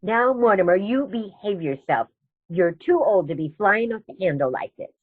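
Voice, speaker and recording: Genteel elderly aunt, female actor, radio stage comedy: serene, chiding, very expressive melody, slightly lo-fi sound. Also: mid-range voice, moderate pace, husky. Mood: firm, unbothered. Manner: prim, gently chiding, unruffled.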